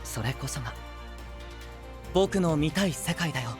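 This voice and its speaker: Young idealist knight, high-ish male voice, soft-spoken, measured, earnest, anime audio drama. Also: lively intonation, music in the background. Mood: earnest, resolved.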